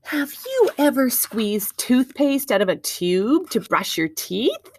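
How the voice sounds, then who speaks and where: A woman's voice: a little high, very animated, slow pace, slight background noise. A woman reading aloud to young children like a teacher, expressively, with questions and wondering asides to her listeners.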